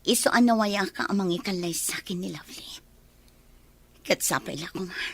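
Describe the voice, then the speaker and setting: A woman with an Ilocano accent playing a hushed, repentant figure making amends in a radio drama. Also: on the low side, normal speed. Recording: faint noisy ambience behind.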